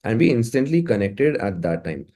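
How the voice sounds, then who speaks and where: A man's voice: medium pitch, very animated, normal speed, dark tone. A man with an Indian accent, chatting casually and effusively as a music producer being interviewed. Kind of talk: casual, conversational interview talk.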